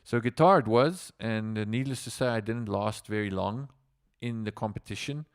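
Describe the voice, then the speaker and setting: South African musician, low gravelly voice, dry sound, loose rambling storyteller. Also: male voice, normal speed, no room sound. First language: Afrikaans. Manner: rambling, with many fillers and restarts. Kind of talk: casual livestream storytelling.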